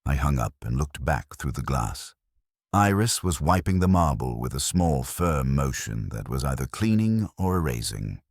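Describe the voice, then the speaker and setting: Very deep-voiced man narrating detective fiction. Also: sing-song, moderate pace, no room sound, clean studio sound. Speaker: audiobook narrator. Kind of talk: scripted first-person detective story narration.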